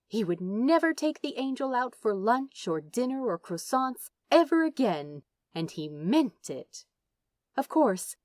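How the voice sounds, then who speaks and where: A woman reading a story aloud as a narrator, with dramatic, deliberate phrasing and drawn-out words. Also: medium pitch, a little mellow, no echo, clean studio sound. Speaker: audiobook narrator. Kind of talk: expressive scripted story narration.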